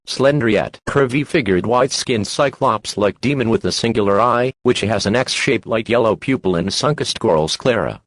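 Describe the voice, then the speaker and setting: A man's voice: mid-range voice, no room sound. A man's synthetic-sounding voice reading a scripted emergency alert in a formal, impersonal manner.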